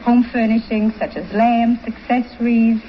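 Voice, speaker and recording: A woman in her thirties from Germany chats with a quiz host as a contestant. Her voice is fairly high, on a dull vintage radio recording with faint noise. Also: little pitch movement, moderate pace. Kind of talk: conversational answers to a host.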